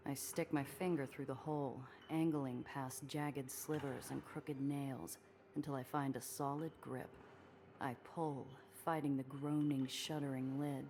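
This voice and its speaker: A woman's voice: very deep voice, level tone, unhurried, background noise. An actress playing a tough, world-weary smuggler woman in a 1930s noir game, wry and clipped. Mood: cool, watchful.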